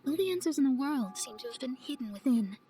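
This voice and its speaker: A woman voicing an earnest, wistful young astrologer in a video game, speaking in a measured, theatrical way. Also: high-pitched, lively intonation, mellow, faint music behind. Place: studio, with music behind.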